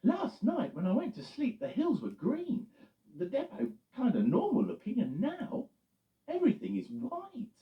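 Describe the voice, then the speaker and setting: A man voicing a steady, polite male delivery truck who is a little worried about the snow. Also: extremely high pitch, measured pace, vocal fry, reverberant, voice pushed up, full character voice. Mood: earnest. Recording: muffled, with music behind.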